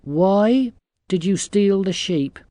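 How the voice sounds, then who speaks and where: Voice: medium pitch, animated, takes it slowly, mellow, dry recording, voice pushed up. A narrator voicing a bold, determined young girl heroine in a storybook, direct and demanding. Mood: stern.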